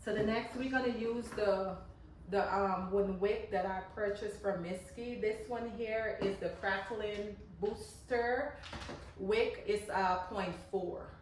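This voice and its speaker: A woman's voice: medium pitch, background noise. Chatty Guyanese woman in an echoey garage. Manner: chatty, loosely repeats herself while working. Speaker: candle maker. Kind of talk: casual how-to demonstration. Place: home garage. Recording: echoey garage, mic far off. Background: passing cars and lawn mowing.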